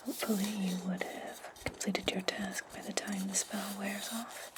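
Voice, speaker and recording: A woman playing a menacing, controlling witch in a whispered ASMR roleplay, commanding her servant in breathy, deliberate tones. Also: mid-range voice, flat delivery, lightly acted. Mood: calm, calculating.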